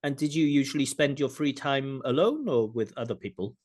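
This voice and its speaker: A man working as a speaking examiner, asking scripted questions in a measured, formal way over a video call. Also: mid-range voice, a little mellow.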